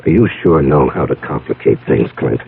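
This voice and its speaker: An uneasy, husky radio-drama businessman. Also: a bass voice, animated, normal speed, full character voice. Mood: exasperated. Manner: stammering and hedging. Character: uneasy, evasive businessman hiding his secret, genial on top. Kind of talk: 1970s radio drama acting. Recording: tinny, band-limited old radio broadcast.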